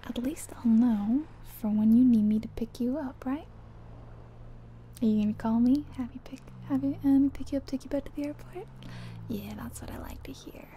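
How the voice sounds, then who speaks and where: A woman playing a friendly, chatty taxi driver in soft-spoken ASMR roleplay, breathy and often whispering, gently hesitant as she murmurs along. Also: on the high side, normal speed, close to an everyday voice. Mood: curious, hesitant. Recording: soft ambient car and rain noise.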